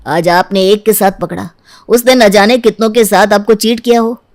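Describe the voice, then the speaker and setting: Meddling male lawyer performed by a woman, smug, smooth-talking insinuation for an audio story. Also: mid-range voice, fairly even intonation, moderate pace, darkish tone, natural voice. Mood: disapproving. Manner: reassuring, insinuating. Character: smug, meddling male divorce lawyer egging on his client.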